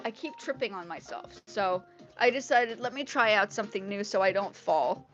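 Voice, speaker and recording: A woman from Indonesia, a native Indonesian speaker, hosting a casual livestream in a rambling, animated way, often repeating her phrases. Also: medium pitch, moderate pace, faint music behind. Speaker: livestream host. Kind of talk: casual livestream chat with viewers. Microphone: earpiece mic on a phone. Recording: dull lo-fi livestream audio through earpiece mic.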